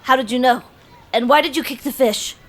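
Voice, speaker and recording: Woman playing a prim, high-voiced alien posing as a boy. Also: brisk, fairly bright tone. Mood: suspicious. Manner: formal, stilted, literal-minded speech. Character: earnest, prim alien disguised as a preteen boy. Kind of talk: audio drama acting for children.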